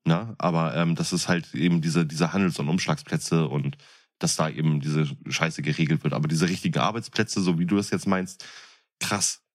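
A man's voice: rumbling low, normal speed. A man co-hosting a podcast, chatting casually in crude, slangy language. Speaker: podcast co-host. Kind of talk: casual chat.

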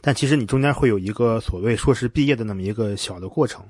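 A man's voice: fairly low pitch, quick pace, a little mellow, breathy edge, dry recording. Man interviewing casually, close dry sound. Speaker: podcast host, interviewer.

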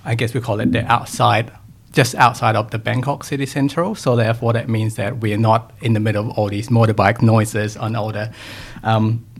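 Podcast guest, young man from Thailand; lowish, gravelly voice, reflective and open. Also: flat delivery, a little fast. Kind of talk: casual interview conversation.

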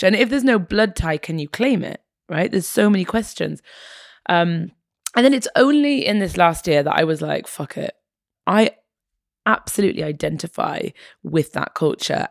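A woman's voice: low voice, vocal fry, no echo, studio quality. A young woman from Egypt, raised in northern England, talking casually as a podcast guest, chatty and full of "like" and "right?". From Egypt, raised in Newcastle upon Tyne. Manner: chatty, animated, fills with "like" and "right?". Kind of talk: casual conversation.

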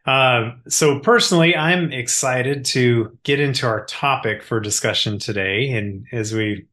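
A man's voice: medium pitch, measured pace, pushed and bright. Upbeat male podcast host. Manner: upbeat, reads intros from a script. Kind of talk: podcast interview hosting.

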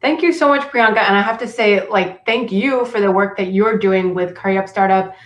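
A woman's voice: mid-range voice, brisk. A woman whose first language is Cantonese speaks as a guest on a podcast. She talks in a loose, conversational way, often filling her sentences with "like" and "um." Her voice carries a noticeable room echo. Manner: casual, frequent "like" and "um", laughs often. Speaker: author and career coach guest. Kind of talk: podcast interview. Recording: echoey room.

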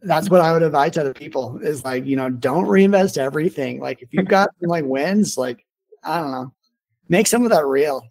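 Higher-voiced man, informal podcast host. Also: normal speed, creaky, darkish tone. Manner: informal, rambling, frequent "like". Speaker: podcast host and business owner. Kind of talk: casual conversational interview.

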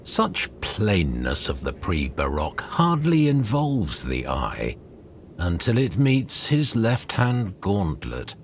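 A man reading poetry aloud in a measured, dramatic recitation, deliberate and with lingering pauses between phrases. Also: deep voice, wildly swooping pitch, a little hiss or hum. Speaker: poetry reader.

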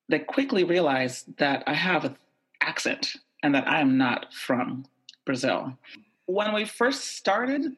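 A young woman telling a personal anecdote, animatedly voicing the people in it. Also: deep voice. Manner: lively, animated quoting of others. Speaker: storyteller. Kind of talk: personal anecdote told to an audience.

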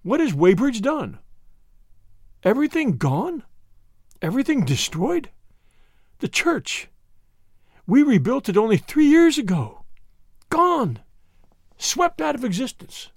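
A man's voice: very high-pitched, very animated, takes it slowly, long pauses, dry recording, studio quality, pitched above the performer's own voice, full character voice. A man voicing a wailing, panicked clergyman. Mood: lamenting. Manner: complaining, wailing, half-demented. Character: distraught, panicked male country clergyman.

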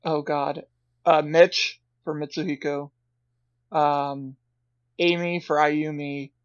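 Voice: mid-range voice, level tone, slow, clear, resonant tone, no room sound, highs cut off. A podcast co-host in casual chat, hesitant and full of fillers.

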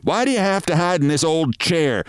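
A man's voice: on the high side, sing-song, normal speed, smooth, no echo, pitched above the performer's own voice. A man reading a story aloud and voicing a fussy, scolding wife. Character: adult woman, a scolding nagging wife.

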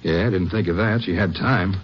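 A man's voice: sits low, a little fast, slightly rough. An actor playing an earnest lawman sidekick, folksy and inquisitive, in an old-time radio western. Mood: puzzled, thoughtful. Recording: muffled old radio recording.

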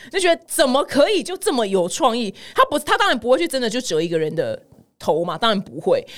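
Taiwanese mandarin lady doing casual news podcast, talks really fast, chatty and slangy. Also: medium pitch. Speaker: podcast host.

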